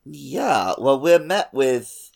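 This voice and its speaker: A man's voice: medium pitch, big pitch swings, extremely slow, gravelly. A man co-hosting a gaming podcast, explaining things in an easygoing, casual way. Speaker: podcast co-host.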